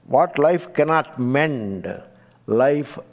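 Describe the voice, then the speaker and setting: A man giving a spiritual discourse, measured and emphatic, dropping to quiet asides and chanting scripture verses slowly. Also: on the high side, expressive. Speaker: spiritual teacher.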